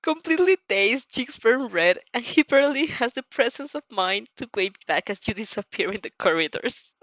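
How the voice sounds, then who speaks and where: A woman reads fan fiction aloud in a high voice with animated pitch. Her narration is giggly and informal, and she trips over words and corrects herself. The recording is close and dry. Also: normal speed, no echo, highs cut off. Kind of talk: expressive second-person story narration.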